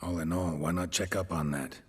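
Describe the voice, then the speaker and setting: An actor playing a gruff, weary swordsman who hunts monsters in a video game, speaking in terse, deadpan sentences. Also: low voice, normal speed. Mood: deadpan, matter-of-fact.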